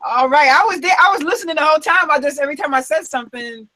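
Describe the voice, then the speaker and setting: A woman from Queens, New York, a drummer and guest, talking in quick, scattered bursts. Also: sits high, sharp tone, dry recording. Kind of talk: casual chat.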